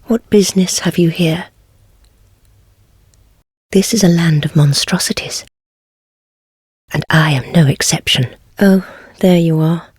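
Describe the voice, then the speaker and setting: An actress playing a wry, self-deprecating heretic sorceress in a dark fantasy game, with a husky, breathy voice and a languid, teasing, formal manner. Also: female voice, fairly low pitch, no echo, studio quality, exaggerated voice. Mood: wary, weary, then wry, softened.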